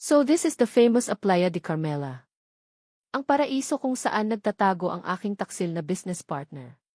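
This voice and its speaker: A woman's voice: medium pitch, animated, moderate pace, darkish tone, no room sound, clean studio sound, natural voice. Woman voicing a sweetly venomous, haughty glamorous villainess. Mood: mocking. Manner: taunting, condescending, mixes English with Tagalog.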